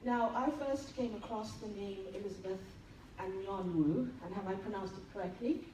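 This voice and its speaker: A woman's voice: mid-range voice, moderate pace. A woman with a Trinidadian accent, a nurse giving an enthusiastic tribute speech at an event. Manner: warm, enthusiastic and admiring. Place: large event hall. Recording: echoey hall, distant, noisy.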